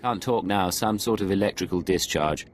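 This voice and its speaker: Very deep, curt, menacing secret agent, acted by a man. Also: moderate pace, a little hiss or hum, lightly acted. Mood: businesslike. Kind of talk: scripted game cutscene dialogue.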